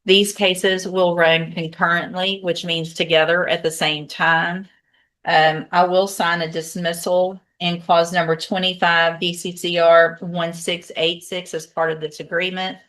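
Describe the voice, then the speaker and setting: A woman's voice: lowish voice, flat delivery, measured pace, a bit bright. An American woman speaking as a judge in court, plain-spoken, firm and formal in her delivery. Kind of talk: courtroom sentencing and docket proceedings.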